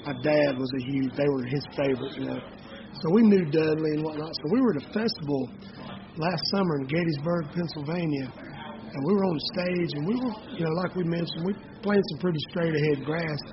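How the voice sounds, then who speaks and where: A man's voice: fairly high pitch, fairly quick, highs cut off, crowd noise. A man, chatting easily in an interview as a banjo-playing bandleader with folksy phrasing. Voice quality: raspy voice. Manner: easygoing storyteller, folksy phrasing. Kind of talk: casual interview chat.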